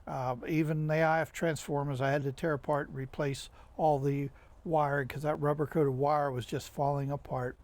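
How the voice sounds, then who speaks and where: Male hobbyist presenter, slightly high-pitched voice, halting and conversational, casual unscripted project walkthrough. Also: little pitch movement, darkish tone. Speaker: hobbyist radio restorer and presenter. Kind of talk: casual how-to video narration.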